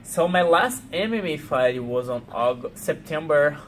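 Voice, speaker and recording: A man from Brazil with a Portuguese accent, speaking halting English full of "you know" in a casual interview. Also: on the high side, lively intonation, a bit bright, slight background noise. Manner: frequent "you know" fillers, halting non-native English. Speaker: pro fighter.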